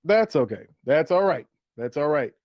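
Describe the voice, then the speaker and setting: A man's voice: on the high side, sing-song, normal speed, a little mellow, no room sound. A man hosting a sports talk show with upbeat fantasy football banter, playful and fond of repeated phrases and rhetorical questions.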